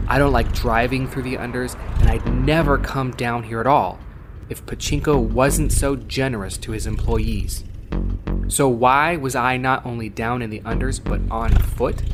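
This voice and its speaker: A man playing a jaded, wry cyberpunk courier, narrating a gritty first-person audio drama in a world-weary, sardonic manner. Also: medium pitch. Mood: bitter, weary.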